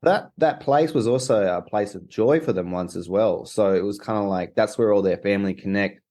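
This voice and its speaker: A man's voice: lowish voice, normal speed, dark tone. A young man, a documentary co-director, answering interview questions in a rambling way with many restarts. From Australia.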